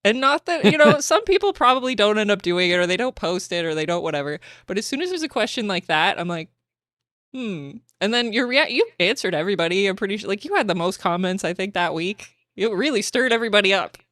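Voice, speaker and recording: A woman's voice: medium pitch, expressive, fast, a bit bright, no room sound, clean studio sound. A woman with a Canadian accent hosting a podcast, chatting casually and acting out quotes, laughing often. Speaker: podcast host. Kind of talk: casual chat.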